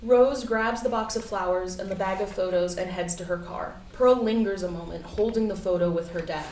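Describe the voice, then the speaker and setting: Female stage-direction reader, mid-pitched, scripted narration, picked up from a distance in an echoey room. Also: moderate pace, darkish tone. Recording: echoey room, distant mic, faint noise.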